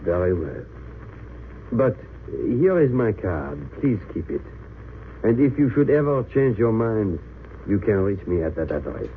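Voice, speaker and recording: A man's voice: fairly low pitch, big pitch swings, measured pace. An actor playing a grave, courteous Belgian resistance man in an old radio drama, speaking formally and earnestly. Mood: pleading. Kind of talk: 1930s-40s radio drama acting. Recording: tinny, hissy old radio recording.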